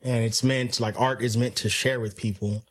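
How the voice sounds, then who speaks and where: A man's voice: medium pitch, steady tone, moderate pace. A drummer in his thirties talking in a laid-back, slangy way in a living room interview. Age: 30s. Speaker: band drummer. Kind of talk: casual interview chat.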